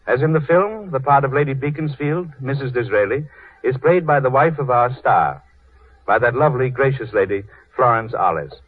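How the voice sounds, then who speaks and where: Formal male announcer for vintage radio theater: declamatory, rough-edged voice, narrow phone-like old recording. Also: mid-range voice, expressive, moderate pace, no room sound. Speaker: host and narrator of a radio drama series. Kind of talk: formal 1930s scripted radio presentation. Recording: tinny, husky 1930s radio recording.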